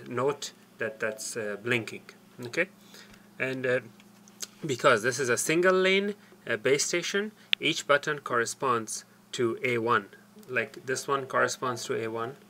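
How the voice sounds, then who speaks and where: An older man explaining a technical procedure step by step in a halting, deliberate way. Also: medium pitch, sharp tone, slight background noise. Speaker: tutorial presenter. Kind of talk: hands-on technical tutorial, explanatory. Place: small cluttered indoor workshop.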